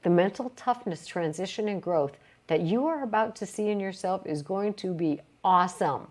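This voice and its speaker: A woman reading a motivational self-help book aloud, with emphatic, deliberate phrasing and short pauses. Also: mid-range voice, lively intonation, a little mellow. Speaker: reader of a book read aloud.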